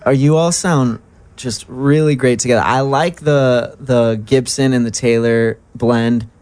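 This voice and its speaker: A man's voice: mid-range voice, fairly even intonation, measured pace, darkish tone, clean tone. Chatty male radio host in studio. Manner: friendly, chatty, with fillers and restarts. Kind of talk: casual radio interview.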